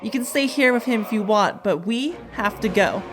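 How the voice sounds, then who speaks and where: A woman plays a resolute teen heroine in an audio drama. Her voice is medium-pitched, earnest and firm, with faint music underneath. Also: lively intonation, moderate pace, fairly bright tone, natural voice. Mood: regretful, resolute. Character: steady, resolute teenage heroine, loyal and firm.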